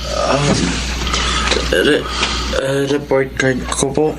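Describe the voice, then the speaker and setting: An actor playing a dutiful, apologetic schoolboy son in a Filipino radio drama, hesitant and respectful, with a Filipino accent. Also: male voice, mid-range voice, steady tone, extremely slow, background noise. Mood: apologetic, nervous.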